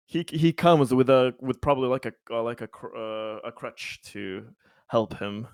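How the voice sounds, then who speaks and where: A man's voice: mid-range voice, slow, long pauses, smooth, no echo. Casual, jokey man in dry sound. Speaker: tabletop roleplaying player. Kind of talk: casual, jokey game chat.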